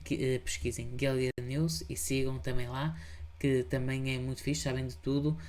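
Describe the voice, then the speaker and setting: A man's voice: medium pitch, level tone, normal speed, a little mellow. A man with a European Portuguese accent hosting a reaction show, chatting casually and insistently urging viewers to subscribe. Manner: insistent, repeats pleas to subscribe and like. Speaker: host reacting to videos. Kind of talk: casual commentary.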